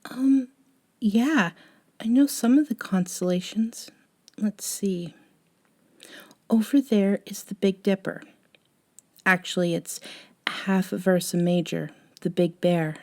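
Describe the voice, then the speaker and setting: A woman acting as a gentle, caring young woman in an intimate ASMR audio drama. Her voice is dark and mellow, and she talks slowly and hesitantly. She often softens into a breathy whisper, with a tender, slightly wistful temper. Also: medium pitch. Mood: soft, thoughtful. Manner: soft, hesitant, often sighing and dropping to a whisper.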